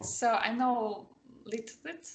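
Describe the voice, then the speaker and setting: A woman's voice: a little high, slow, echoey room, crowd noise. A woman whose first language is Spanish, a student in an online lesson, talking hesitantly with many fillers. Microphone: video call.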